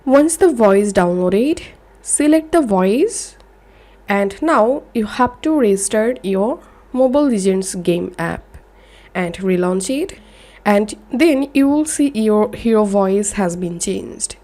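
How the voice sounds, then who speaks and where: A woman's voice: medium pitch, lively intonation, slow, dark tone. A woman reading a scripted how-to narration in a step-by-step, instructional manner. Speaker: tutorial narrator.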